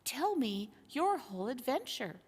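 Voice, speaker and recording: Woman acting courtly storybook nobleman, formal, soft, polite, slow, long reverb. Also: sits high, very animated, slightly rough, heavily acted. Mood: courteous.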